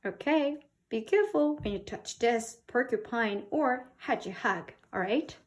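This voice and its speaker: A woman from South Korea reading a picture book to young children as a teacher, with simple, expressive talk and questions. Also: a little high, measured pace. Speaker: children's English read-aloud teacher.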